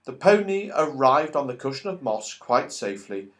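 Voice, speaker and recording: A man reading a children's story aloud as an audiobook narrator, expressive and animated. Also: medium pitch, normal speed. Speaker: audiobook reader.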